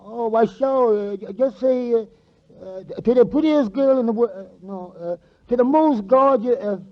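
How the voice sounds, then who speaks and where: High-voiced stuttering, bumbling sidekick. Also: male voice. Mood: fumbling. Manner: heavy comic stutter, halting, trails off. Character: timid, dim, bumbling stuttering male sidekick. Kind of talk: 1940s radio comedy acting. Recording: muffled vintage 1940s radio broadcast.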